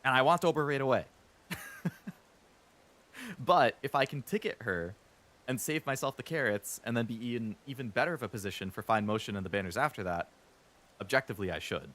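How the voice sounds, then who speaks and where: A man streaming a game, chatting casually and rambling a bit, stuttering now and then when he talks fast. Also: mid-range voice, lively intonation, a bit bright. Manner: rambling, chatty, lots of fillers.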